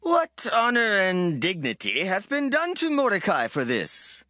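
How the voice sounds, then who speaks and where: A woman's voice: medium pitch, measured pace, no echo, exaggerated voice. A woman voicing a commanding ancient king in a dramatized scripture recording, stately and authoritative. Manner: stately, commanding, very animated intonation. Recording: dry close studio voice.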